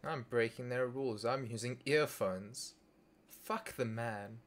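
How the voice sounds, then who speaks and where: A man's voice: medium pitch, a little slow. A young man chatting casually with a friend on a voice call while loading a game, joking and musing in a mock-dramatic way. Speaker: gaming video creator. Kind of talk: casual chat over voice call.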